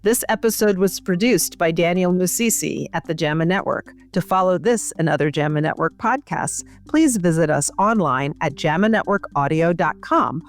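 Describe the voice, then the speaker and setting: A woman hosting a medical podcast interview, speaking in an articulate, measured and formal way. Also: on the low side, animated. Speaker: podcast host, medical journal editor.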